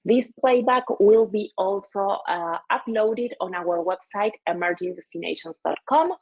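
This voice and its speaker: A woman's voice: medium pitch, a little slow, dull audio. A woman hosting a webinar, speaking with many hesitations and fillers. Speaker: webinar host.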